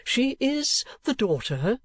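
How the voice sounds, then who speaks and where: A man acts out a grey-headed old gentleman from a storybook, pitched well above a normal male speaking voice. He is kindly, excitable and sentimental. His phrases come slowly and emphatically, with halts and repeats, in a dry, close sound. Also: dry recording, full character voice. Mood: wistful, tender. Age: older. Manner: emphatic, repetitive, halting, drawn-out delivery.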